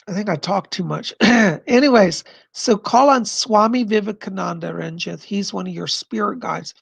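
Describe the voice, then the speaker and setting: A man hosting a live stream of psychic readings, answering viewer questions in an effusive, chatty way and pausing to listen to spirits. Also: high-pitched, creaky, husky, dry recording. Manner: pauses to listen to spirits mid-sentence.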